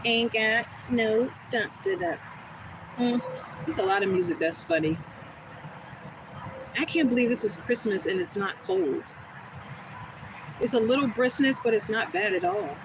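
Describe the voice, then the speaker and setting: A woman with a smooth, soothing voice, chatting casually with viewers during a live stream and answering their comments as she goes. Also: medium pitch, a little slow, long pauses. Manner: relaxed, rambling, talks back to chat comments. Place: outdoors on a quiet street. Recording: noisy outdoor phone recording.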